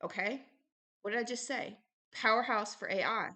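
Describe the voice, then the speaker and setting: A woman's voice: on the high side, moderate pace, pushed and bright. A woman giving extemporaneous Bible teaching and commentary in short, insistent phrases, repeating key words for emphasis. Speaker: religious teacher and show host.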